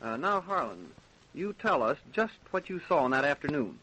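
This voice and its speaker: An actor playing a measured, formal American inquest examiner questioning witnesses in an old-time radio drama. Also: male voice, fairly high pitch, big pitch swings, sharp tone, husky, exaggerated voice, poor audio. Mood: businesslike, patient.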